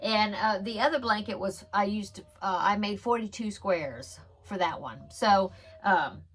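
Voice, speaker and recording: A woman's voice: mid-range voice, measured pace, a bit bright, slightly rough. Chatty woman with a stuffy nose. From Texas. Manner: chatty, giggly, rambling with frequent fillers. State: stuffy nose on one side. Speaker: crochet podcast host. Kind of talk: casual show-and-tell chat.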